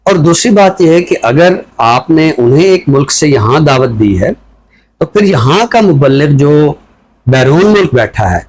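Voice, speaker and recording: Deliberate Pakistani man explaining his views. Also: on the high side, animated, a little mellow, rough recording. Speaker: literary talk show commentator. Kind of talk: reflective talk show commentary.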